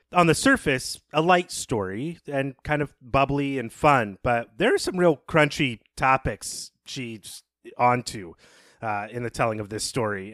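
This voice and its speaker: A man's voice: medium pitch, fairly bright tone, no room sound, studio quality. A man co-hosting a podcast, giving conversational literary commentary in an appreciative, thoughtful, analytical way. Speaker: podcast co-host.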